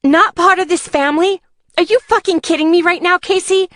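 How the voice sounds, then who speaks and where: Woman acting a high, fierce young biker woman. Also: a little fast. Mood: furious. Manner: loud, confrontational, exasperated. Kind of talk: audiobook character dialogue.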